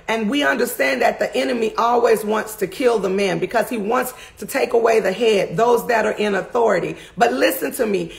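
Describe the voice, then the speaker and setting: Woman preacher, raspy gravelly voice, fervent and emphatic, middle-aged, close webcam in a home study. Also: a little high. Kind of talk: sermon recap to camera. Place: home study with bookshelves, indoors.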